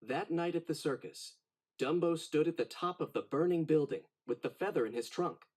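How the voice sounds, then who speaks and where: A man narrating a children's storybook in an expressive, dramatic read-aloud style. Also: a little high, moderate pace.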